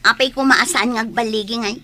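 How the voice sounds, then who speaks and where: A woman acting as a pushy, vain and flirtatious elder sister in a radio comedy drama, excitable and wheedling. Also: fairly high pitch, fast.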